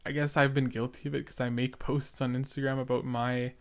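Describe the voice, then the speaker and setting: A man's voice: medium pitch, little pitch movement. Young male podcaster, casual and earnest, mid-range voice, occasional stutter. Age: young adult. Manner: halting, stuttering, repeats words. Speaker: podcast host reacting to an old episode. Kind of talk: casual reaction commentary.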